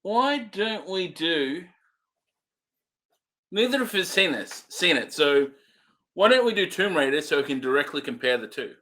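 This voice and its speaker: A man's voice: fairly high pitch, expressive, unhurried, pauses often, sharp tone. A man co-hosting a movie review show in casual banter, laid-back and chiming in with short remarks.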